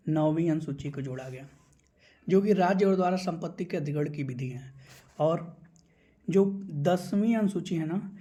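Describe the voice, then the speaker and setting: A man's voice: fairly high pitch, a little mellow. A man giving an instructional exam-prep lecture, explaining points item by item as a teacher.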